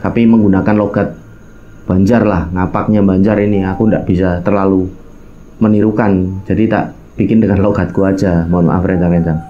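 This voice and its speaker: A man's voice: low voice, steady tone, brisk, dull audio. A man with a Javanese accent, telling a personal hiking tale as a casual, colloquial storyteller who speaks directly to his listeners. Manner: colloquial, addresses listeners, acts out quoted dialogue, mixes in local phrases. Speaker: storyteller recounting his own mountain hike.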